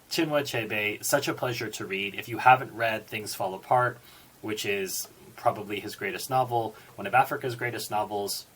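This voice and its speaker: A man giving a book review in a measured, reflective way, pausing and stressing key words. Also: lowish voice, a bit bright, rough recording. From born in Singapore, United States citizen. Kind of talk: book review talk with read-out quotations.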